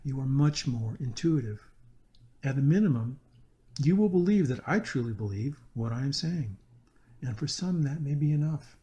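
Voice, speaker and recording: A man guides a meditation from a prepared script. His voice is mellow and relaxed, sitting at a medium pitch. He speaks deliberately and earnestly, phrase by phrase. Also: muffled recording.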